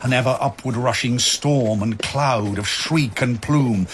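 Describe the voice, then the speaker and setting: A male narrator with a mid-pitched voice delivers scripted archaic verse slowly and solemnly. The phrasing is measured and literary, with clear noise behind him.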